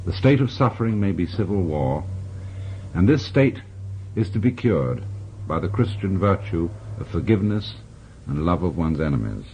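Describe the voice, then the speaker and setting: A middle-aged man on a 1960s radio show, reading a philosophical text aloud in a measured, reflective way with long pauses. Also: deep voice, big pitch swings, slight background noise. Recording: dull lo-fi 1960s radio recording.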